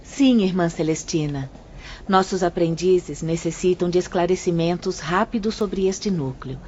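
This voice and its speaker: A woman as a patient spirit guide, calm explainer, muffled faint hiss. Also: on the low side, unhurried, lightly acted. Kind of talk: audio drama acting. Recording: muffled lo-fi audio with faint noise.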